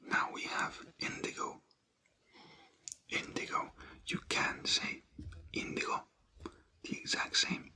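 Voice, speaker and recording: Hushed whispering language tutor, very slow. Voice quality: breathy, unvoiced whisper. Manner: slow, repetitive, spelling words out letter by letter. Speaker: language teacher. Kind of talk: whispered ASMR Spanish vocabulary lesson.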